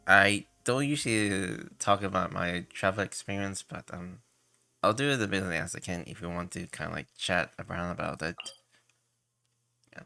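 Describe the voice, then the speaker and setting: A man livestreaming a game with casual commentary, hesitant and halting, mumbling at times as he gathers his words. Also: on the low side, vocal fry, sharp tone.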